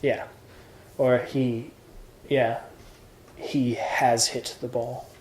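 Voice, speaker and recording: A man's voice: mid-range voice, little pitch movement, drawn-out words, a little mellow, roomy sound, a little hiss or hum, rough recording. A man teaching introductory Greek grammar in an informal lesson, explaining patiently step by step with examples. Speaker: Greek tutor.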